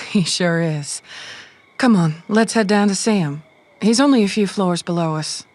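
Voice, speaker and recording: A woman's voice: fairly low pitch, unhurried, darkish tone, no room sound, close to an everyday voice. A woman playing a sweetly manipulative, maternal schemer in an audio drama, coaxing and controlling.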